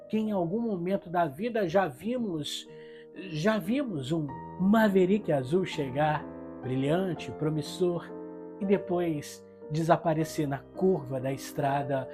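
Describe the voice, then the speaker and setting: A Brazilian woman reading a scripted literary review in a solemn, reflective and rhetorical manner. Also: fairly low pitch. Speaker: book reviewer. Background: guitar music.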